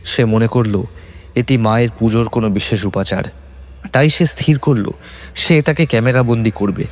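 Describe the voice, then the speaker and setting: A man narrating a scripted horror story in suspenseful, measured phrases. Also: fairly low pitch, darkish tone. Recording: faint music behind.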